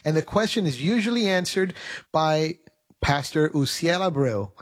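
A man talking through his family story in an earnest, halting way, with many restarts, as a guest on a radio interview. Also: on the high side, lively intonation. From United States. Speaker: radio program host. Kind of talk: conversational interview.

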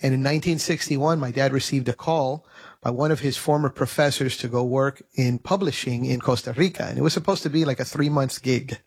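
Radio host-guest, male, average pitch, earnest and halting, lots of restarts. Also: slightly rough. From United States. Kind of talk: conversational interview.

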